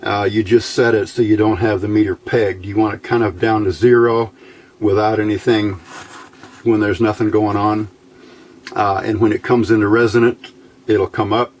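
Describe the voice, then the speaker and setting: A man's voice: lowish voice, little pitch movement, normal speed. A man giving a casual, unscripted hands-on demonstration, explaining step by step in a plain, folksy way. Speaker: hobbyist presenter demonstrating electronics test equipment.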